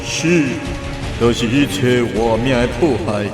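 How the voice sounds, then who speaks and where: A man's voice: medium pitch, measured pace, over music, natural voice. A man with a Taiwanese accent playing a menacing, merciless god of death in a radio drama, solemn and declamatory. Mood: ominous.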